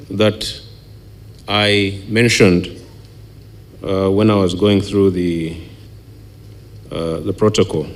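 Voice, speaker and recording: A man giving a formal prepared speech in a measured, halting way. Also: fairly low pitch.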